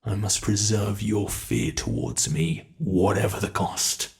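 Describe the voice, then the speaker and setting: A man acts out a centuries-old male monster on the hunt in an ASMR roleplay. The voice is fairly low and full of gravelly fry, delivered very slowly. He is possessive and theatrical, savoring each taunt with haughty, gleeful menace. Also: animated, full character voice. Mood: grudgingly gentle.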